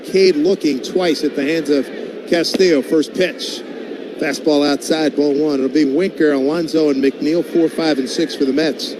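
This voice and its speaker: A man's voice: fairly high pitch, steady tone, moderate pace. A man doing live radio baseball play-by-play as the announcer, in colloquial, clipped phrases. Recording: loud ballpark background noise. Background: outdoor stadium ambience.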